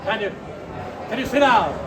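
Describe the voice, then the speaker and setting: A man acting a caustic, uneasy old miser with a sharp, stammering delivery in a storybook reading. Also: sits high, expressive, moderate pace, crowd noise. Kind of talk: theatrical storybook reading before an audience. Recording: echoey, distant, noisy live fair recording.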